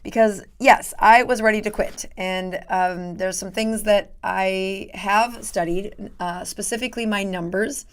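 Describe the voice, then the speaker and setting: A middle-aged woman doctor with a raspy voice that is going hoarse, chatting casually as host of her live stream with many fillers. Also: medium pitch, fairly even intonation, a little slow, few pauses. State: losing her voice. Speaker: doctor hosting a live show.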